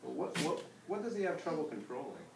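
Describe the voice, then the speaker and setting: A man's voice: a little high, sing-song, quick pace, vocal fry. A man teaching a class, reading a story aloud and putting questions to his pupils. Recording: roomy distant mic with background noise.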